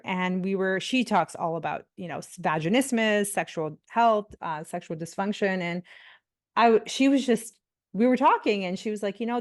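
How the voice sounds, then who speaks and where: A woman in her forties from India, a pelvic physiotherapist talking as a podcast guest in a chatty way, full of fillers and restarts. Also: medium pitch. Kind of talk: casual interview talk.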